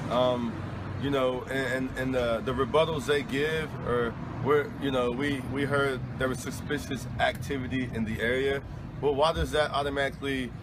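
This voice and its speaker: A thirty-year-old man in a street interview who answers earnestly, often restarting his sentences. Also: mid-range voice. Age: 30s. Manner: earnest, halting, many restarts. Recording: outdoor traffic noise.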